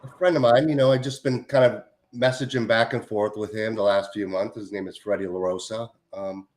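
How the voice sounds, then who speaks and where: Male host, lowish voice, relaxed, enthusiastic intro, casual. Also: brisk.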